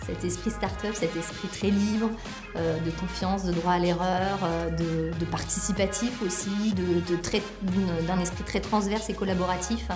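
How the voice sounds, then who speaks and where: A woman's voice: fairly low pitch, steady tone, moderate pace, over music. A woman sharing her opinion informally in an interview.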